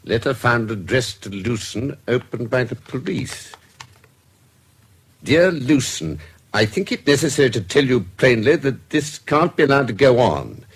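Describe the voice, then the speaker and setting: An actor playing a learned, wry doctor-detective in a radio drama, genially pedantic and donnish, with a British accent. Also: male voice, mid-range voice, animated, unhurried, husky. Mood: reading drily. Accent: British English. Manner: donnish, precise, dryly humorous. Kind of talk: BBC radio drama acting.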